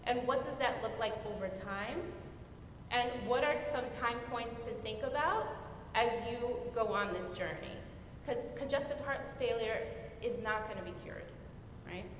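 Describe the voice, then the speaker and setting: A woman's voice: measured pace, a bit bright. A woman explaining her work as a nurse on a discussion panel. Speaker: panelist, former public health nurse. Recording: very echoey hall, rumbling background noise.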